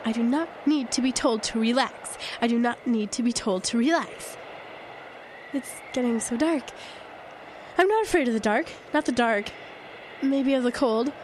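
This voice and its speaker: An actress playing a frightened, doubting, defiant fiancée in an audio drama, with emphatic phrasing. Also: female voice, fairly high pitch, moderate pace, a little mellow, a little hiss or hum, lightly acted, poor audio. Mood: indignant, fearful monologue. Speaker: actor. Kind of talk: audio drama acting with inner-monologue narration.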